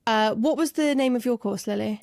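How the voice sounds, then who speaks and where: A woman's voice: fairly high pitch, brisk, vocal fry, a little mellow, no room sound, clean studio sound. A woman hosting a casual podcast interview, asking encouraging questions. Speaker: podcast host.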